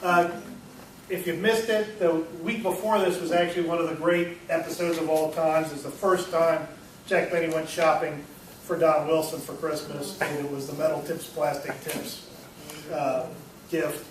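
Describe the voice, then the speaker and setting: Male presenter, slightly high voice, informal commentary, far from the mic in a noisy room. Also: flat delivery, moderate pace, heavy reverb. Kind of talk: informal spoken commentary to a group. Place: room at a club meeting.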